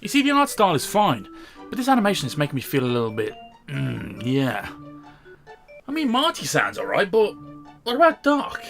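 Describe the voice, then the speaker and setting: Animated British man, sardonic reviewer. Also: on the high side, moderate pace, creaky, long pauses, over music. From Britain. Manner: opinionated, sardonic, sweary. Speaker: host and reviewer. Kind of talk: informal scripted video review commentary.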